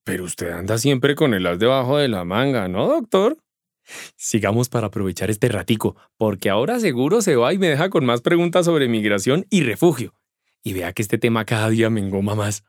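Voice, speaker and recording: A man's voice: mid-range voice, very animated, fairly quick, no room sound, clean studio sound. A man with a Colombian accent playing a curious, folksy neighbor who asks about migration rights in slangy everyday speech. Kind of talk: scripted radio drama dialogue.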